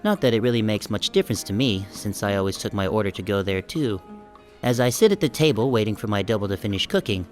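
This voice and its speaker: A man playing a short, self-conscious sheep who narrates an audiobook in a wry, deadpan, casual first-person style. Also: lowish voice, natural voice.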